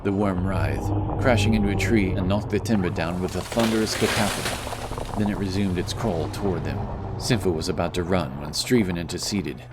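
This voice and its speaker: A man's voice: low voice, a little fast, very noisy. A man reading scripted, dramatic narration for a fantasy adventure story.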